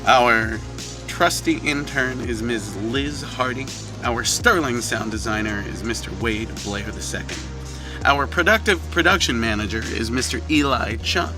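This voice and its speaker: Man reading credits over prominent music. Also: mid-range voice. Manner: measured, formal, with playful asides. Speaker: director and producer reading closing credits. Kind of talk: scripted credits narration.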